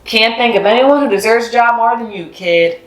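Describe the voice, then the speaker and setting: A woman's voice: fairly high pitch, normal speed, lightly acted. A woman voicing a kindly, fatherly older custodian in a children's storybook, with a folksy, reassuring manner. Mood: warm, proud. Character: kindly older male school custodian, fatherly, twinkly mentor. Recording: roomy echo with music behind.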